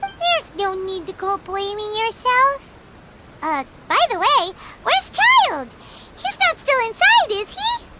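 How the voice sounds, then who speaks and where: A woman voicing a tiny, perky, childlike fairy companion in a video game, squeaky and emphatic. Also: very animated, takes it slowly, heavy background noise, exaggerated voice. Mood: concerned. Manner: refers to self in third person, emphatic. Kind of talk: video game cutscene acting.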